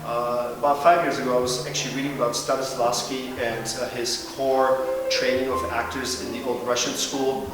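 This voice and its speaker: Man from Turkey in an echoey hall. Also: medium pitch. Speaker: adult ballet student and video director. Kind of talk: testimonial interview. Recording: roomy hall echo, distant mic, music behind.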